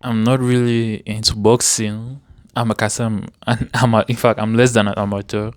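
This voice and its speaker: A man's voice: fairly low pitch, little pitch movement, normal speed, slight background noise. A man, chatting casually about sport as a guest in an interview. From Cameroon.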